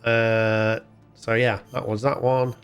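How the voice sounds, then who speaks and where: A man's voice: on the low side, level tone, slow, fairly bright tone, smooth, soft background music. Man giving chatty, informal game commentary. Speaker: host of a retro gaming channel. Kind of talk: casual off-the-cuff gameplay commentary.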